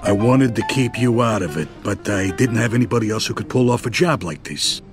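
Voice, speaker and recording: Man playing a low-voiced streetwise mob boss. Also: animated, brisk, natural voice. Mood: persuasive. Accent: American. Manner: streetwise, casual, commanding. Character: middle-aged male mobster boss, streetwise and businesslike. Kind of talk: dramatic video game acting. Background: music.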